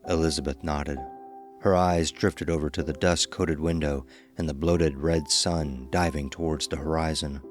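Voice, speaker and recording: A man with a deep voice narrates a horror story from a script. Faint music plays behind him. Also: fairly even intonation, normal speed.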